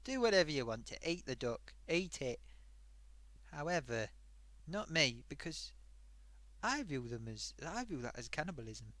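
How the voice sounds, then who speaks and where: A man's voice: on the high side, sing-song, normal speed, long pauses, fairly bright tone, no room sound. A man voicing a chatty sock puppet host who rambles off topic and talks to viewers, his throat getting sore. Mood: rambling. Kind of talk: rambling comedic live-stream monologue.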